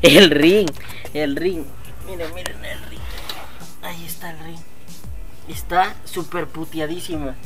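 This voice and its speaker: A man's voice: a little high, fairly even intonation, a little slow, pauses often, over music. Chatty Mexican man vlogging outdoors. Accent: Mexican Spanish. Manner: chatty, slangy, addresses viewers directly. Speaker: motovlog host. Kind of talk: casual vlog chat. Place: outdoor workshop yard.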